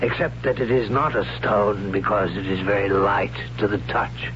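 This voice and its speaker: A man playing a hushed, awestruck young wanderer. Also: lowish voice, lightly acted. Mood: awed. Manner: hesitant, halting, hushed. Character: awed young man who visited a haunted ruin. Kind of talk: 1970s radio drama acting. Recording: dull lo-fi old radio broadcast with hiss.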